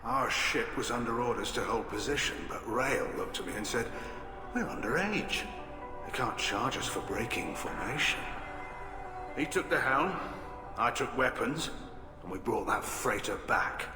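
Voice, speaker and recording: An actor playing a gruff, hawkish middle-aged alien admiral in a video game, blunt, clipped and wry. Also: male voice, mid-range voice, expressive, a little slow, lightly acted. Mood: wry reminiscing. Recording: echoey hall, music behind.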